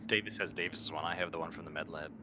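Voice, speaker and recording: A man playing a tabletop roleplaying game, talking casually as a player. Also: quick pace. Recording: noisy mic with background rumble.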